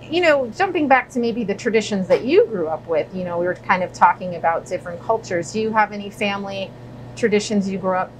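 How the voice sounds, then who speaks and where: Female interviewer, 40s, conversational and chatty, average pitch, lapel mic with slight room rumble. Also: lively intonation, quick pace, rough recording. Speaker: interviewer, host. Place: indoors in a deli, seated at table. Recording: faint background rumble and hum.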